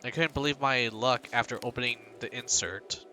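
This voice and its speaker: A man streaming a game, reading its narration aloud and drifting into joking, rambling asides full of fillers. His voice is bright and sharp at a middling pitch, with faint music behind him. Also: normal speed.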